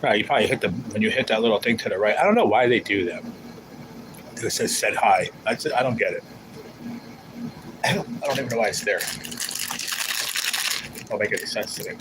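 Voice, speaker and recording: A man's voice: lowish voice, brisk, pauses often, background noise. A man hosting a casual livestream, chatting in a rambling, teasing way as he reads viewer comments. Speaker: livestream host.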